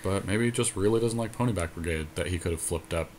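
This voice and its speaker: A man's voice: low voice, fast talker, a little mellow, a little hiss or hum. A man in casual gameplay commentary, analytically talking through his decisions as a podcast co-host. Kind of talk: casual gameplay commentary on a card game draft.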